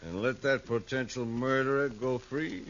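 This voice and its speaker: An actor playing a smug, menacing gambler in a 1950s radio western, cold and threatening. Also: male voice, medium pitch, moderate pace, a bit bright, dull audio, lightly acted. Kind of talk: 1950s radio drama acting.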